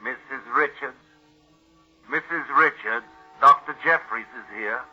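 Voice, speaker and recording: An actor playing a formal, deferential household butler in an old-time radio mystery. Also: male voice, medium pitch, a little slow, over loud music, lightly acted. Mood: calling, alarmed. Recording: muffled old radio recording.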